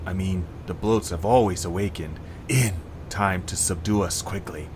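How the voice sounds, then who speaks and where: A man's voice: sits low, normal speed, darkish tone, a little hiss or hum, natural voice. An eager young male creature from a cartoon story, acted by a man. He talks with urgency, like a conspirator passing on a secret plan to friends. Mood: conspiratorial.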